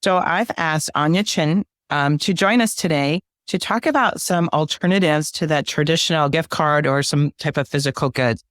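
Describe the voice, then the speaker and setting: A woman's voice: low voice, moderate pace, few pauses, dry recording, studio quality. A woman hosting a personal finance podcast, chatting with a guest in a friendly, conversational way. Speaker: podcast host. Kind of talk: podcast interview.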